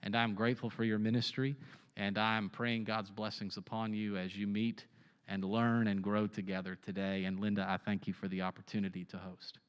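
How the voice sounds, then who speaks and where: A man's voice: fairly low pitch, flat delivery, normal speed, smooth. A man giving formal greetings and a blessing to a church meeting. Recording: dull, distant, with hall reverb.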